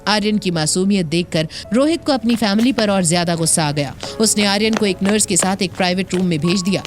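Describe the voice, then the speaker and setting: A woman's voice: medium pitch, fairly quick, over music. A woman reading scripted narration for an audio drama.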